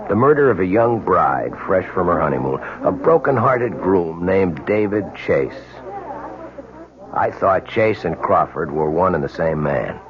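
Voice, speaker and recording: An American actor playing a dogged, world-weary police detective in a radio drama, speaking earnestly and insistently with deliberate dramatic pauses. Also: male voice, low-pitched. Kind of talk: 1970s radio drama acting. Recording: dull, lo-fi old radio drama recording.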